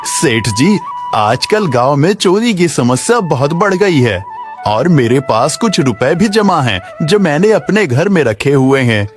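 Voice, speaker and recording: A man's voice: a little high, sing-song, a little slow, soft background music. A man playing a humble, honest village labourer in a cartoon moral story, speaking politely and earnestly. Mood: worried, earnest. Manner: deferential, earnest.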